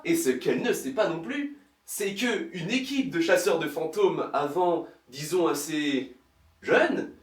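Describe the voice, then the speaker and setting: Sarcastic man with very lively pitch, roomy. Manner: mocking, exaggerated, theatrical. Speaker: film reviewer. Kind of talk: sarcastic parody recap narration. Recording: roomy, distant mic, faint noise.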